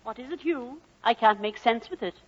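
Husky, fretful Welsh mother. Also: on the high side, expressive, moderate pace. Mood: scornful, fond. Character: fretful, plain-spoken, loving Welsh mother. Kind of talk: 1940s-50s radio drama acting. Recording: dull old radio recording.

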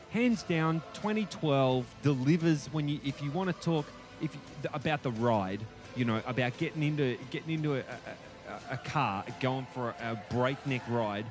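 A man's voice: on the high side, moderate pace, over music. An Australian man chatting informally as a podcast guest, colloquial and given to emphatic repetition. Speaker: guest podcaster and film reviewer. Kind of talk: casual podcast chat.

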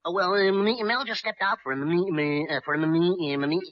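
A man's voice: high voice, fairly quick, dull audio, lightly acted. An actor playing a timid, flustered male employee with a heavy comic stutter, nervous and hesitant, in an old radio comedy. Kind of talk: mid-century radio comedy acting. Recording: old radio show, audience noise.

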